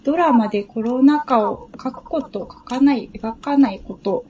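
A woman with a mellow, unforced tone and a slightly raised pitch. She talks as a guest in a relaxed chat, feeling her way thoughtfully through each idea. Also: normal speed, slight background noise. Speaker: guest on a talk show. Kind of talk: relaxed reflective casual chat.

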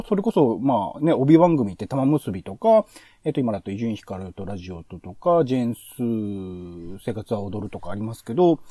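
A man's voice: mid-range voice, warm tone, no room sound. A man co-hosting a casual radio-review podcast, explaining at length in long, linked, rambling sentences. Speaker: podcast co-host. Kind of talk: casual discussion of radio shows.

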